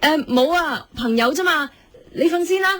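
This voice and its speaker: A woman's voice: sits high, animated, moderate pace, a bit bright, slight background noise. A woman playing an unhappily married, curious and wistful wife in a radio drama, speaking hesitantly with a Hong Kong Cantonese accent. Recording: radio drama with music underneath.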